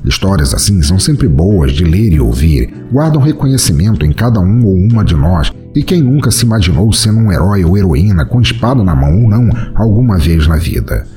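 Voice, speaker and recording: A man narrating a scripted fantasy story as podcast host, with a dramatic, expressive delivery. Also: sits low, a little fast, hardly any pauses, soft background music.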